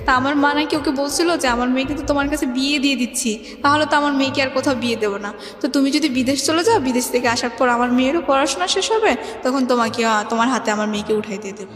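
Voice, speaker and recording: High-pitched young Bangladeshi woman, echoey. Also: fast, a bit bright. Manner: rambling, colloquial, often recounts reported speech. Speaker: guest telling her life story. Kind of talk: personal storytelling in an interview. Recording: echoey reverberant room, music underneath.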